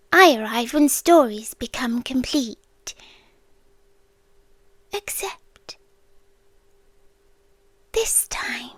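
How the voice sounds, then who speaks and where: A British woman voicing an ancient, lovestruck, obsessive end-of-the-world entity in a hushed, intimate ASMR roleplay, with deliberate pauses, close to the microphone. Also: high voice, dry recording, exaggerated voice. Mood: hushed, solemn. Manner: slow, hushed, deliberate delivery with long pauses. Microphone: close, dry studio microphone.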